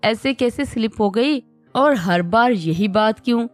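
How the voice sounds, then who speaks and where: A woman voicing a touchy, quick-tempered young wife who sulks and snaps. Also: on the high side, lively intonation, faint music behind. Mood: huffy. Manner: indignant, sulky.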